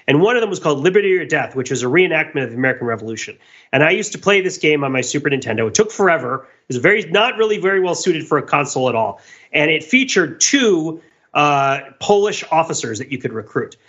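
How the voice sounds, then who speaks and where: A man's voice: on the high side, sing-song, quick pace, a bit bright, breathy edge, highs cut off. A man co-hosting a podcast in casual discussion, talking discursively and digressing as he searches for words. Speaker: podcast co-host.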